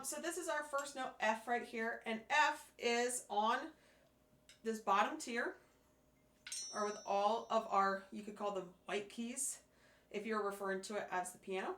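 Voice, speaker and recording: Hoarse female music teacher, slightly high voice, patient and step-by-step, recorded in an echoey room. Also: a bit bright. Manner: patient, step-by-step explaining with fillers. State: hoarse, losing her voice. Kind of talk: instructional demonstration.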